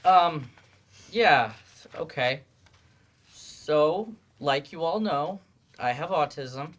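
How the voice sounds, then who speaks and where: Guarded male adult student, acted by a man: earnest, halting, self-doubting, lively swings in pitch, stage-play delivery. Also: full character voice. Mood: anxious, explanatory.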